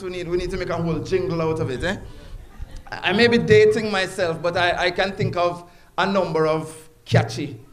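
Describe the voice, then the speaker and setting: A man with a Jamaican accent speaking at a community awards ceremony, chatty and informal, often breaking off to sing bits of old jingles. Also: a little high. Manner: chatty, warm, breaks into song, restarts often. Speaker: guest speaker, executive director. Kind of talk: public speech at a ceremony.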